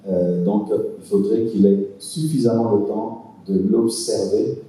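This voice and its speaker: A man gives earnest, sermon-like advice to couples, pausing for emphasis. His voice is low and very dark. He is heard from a distance in a strongly echoing hall, with a faint hiss behind him. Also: fairly even intonation. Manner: earnest, preaching with emphatic pauses. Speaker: pastor answering couples' questions. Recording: echoey hall from far off, faint noise.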